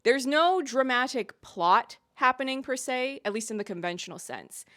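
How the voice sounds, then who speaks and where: A woman's voice: high voice, expressive, normal speed, fairly bright tone, dry recording, studio quality. A woman giving opinionated video essay commentary as a reviewer, with emphatic delivery and wry, exasperated asides.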